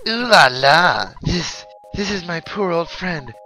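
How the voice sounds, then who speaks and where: A man voicing an obnoxious, snobby rich boy from a video game in a pompous, taunting, drawn-out manner. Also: a little high, expressive, bright, forceful tone, faint music behind, voice pushed up, heavily acted. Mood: smug, taunting. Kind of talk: video game character read-aloud.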